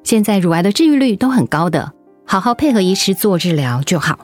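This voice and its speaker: An actress playing a caring, gently earnest cancer survivor advising a colleague in a radio drama. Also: female voice, medium pitch, sing-song, a little fast, soft background music. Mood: gentle, encouraging. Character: caring older female colleague who survived breast cancer.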